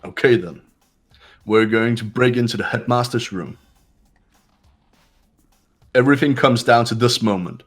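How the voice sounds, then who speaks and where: A man's voice: mid-range voice, a little slow, long pauses, faint music behind, lightly acted. A man playing a stern, commanding young leader. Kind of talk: amateur voice acting of a video game.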